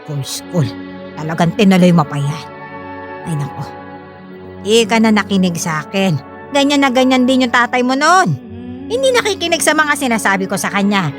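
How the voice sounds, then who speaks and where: A woman's voice: medium pitch, sing-song, moderate pace, long pauses, lightly acted. A woman acting a drunken, bitter middle-aged mother in a Filipino radio drama, scornful and hectoring, with a Filipino accent. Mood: drunken, sneering. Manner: slurring taunts, scornful, hectoring. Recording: music bed under the voice.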